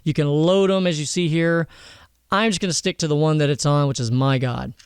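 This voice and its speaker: Higher-pitched man, casual tutorial host. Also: steady tone, fairly quick, loud music behind the voice. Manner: informal, slangy, swearing.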